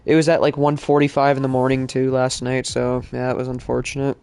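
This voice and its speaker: Congested guy streaming a game, flat gravelly voice, rambly w/ lots of fillers. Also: medium pitch, brisk. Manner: casual, rambling, many fillers. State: congested from allergies. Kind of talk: live-stream gaming chat.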